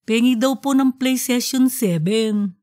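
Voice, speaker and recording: A woman's voice: a little high, unhurried, a little mellow, close to an everyday voice. A woman voicing an eager, cheeky young schoolboy who talks politely in an audiobook story. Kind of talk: audiobook character dialogue.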